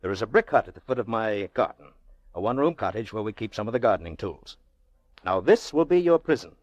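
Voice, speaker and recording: A man playing a haughty, very wealthy middle-aged gentleman in an old-time radio drama, speaking in pompous rhetorical flourishes with drawn-out emphasis. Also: fairly low pitch, very animated, dry recording. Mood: brisk, commanding. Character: haughty, very wealthy Russian gentleman, goading and theatrical.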